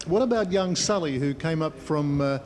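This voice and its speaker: Higher-pitched Australian male sports interviewer. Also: animated, moderate pace, a crowd in the background. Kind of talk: conversational broadcast sports interview.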